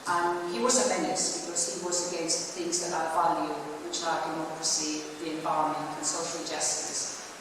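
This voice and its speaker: A woman's voice: low-pitched, flat delivery, moderate pace. An older woman from Spain with a Spanish accent, giving an earnest campaign speech at a public meeting, with frequent restarts and hesitations. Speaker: political candidate. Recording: echoey hall, distant mic, background noise.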